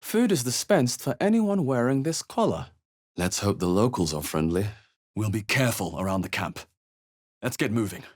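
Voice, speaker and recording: Husky, wry fantasy adventurer. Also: male voice, on the low side, very animated, moderate pace. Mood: thoughtful. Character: young male fantasy adventurer, wry and watchful. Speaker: video game voice actor. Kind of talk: video game character voice lines, short reactions.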